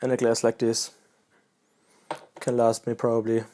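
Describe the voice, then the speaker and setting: A man from Austria with an Austrian German accent, a native German speaker, chatting casually and haltingly to camera as a pipe-smoking vlogger. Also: medium pitch, slight background noise. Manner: halting, relaxed, pauses mid-sentence.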